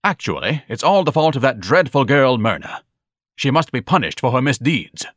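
A man's voice: medium pitch, very animated, normal speed, fairly bright tone, dry recording, studio quality, pitched above the performer's own voice. A man voicing a pompous, self-pitying grown son in an audiobook, declaiming in florid, haughty phrases. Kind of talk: audiobook character dialogue.

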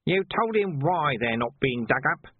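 A man's voice: on the high side, sing-song, measured pace, husky, dry recording, narrow, tinny audio, voice pushed up, full character voice. A man voicing a blunt, persistent male city watchman.

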